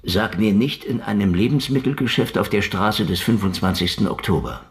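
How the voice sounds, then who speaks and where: A man playing a shabby, cynical private detective in a radio drama, gruff and sardonic, with a mock-dim streak. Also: lowish voice, animated, normal speed, natural voice. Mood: wry, wary. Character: shabby, wisecracking, cynical hard-boiled male private detective. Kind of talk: hard-boiled radio drama acting. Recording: music behind the voice.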